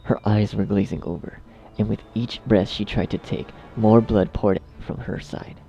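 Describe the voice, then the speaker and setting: A man reads a scripted horror story as narrator. His voice is low with heavy creak, set over clearly audible music. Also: steady tone, moderate pace, warm tone, highs cut off.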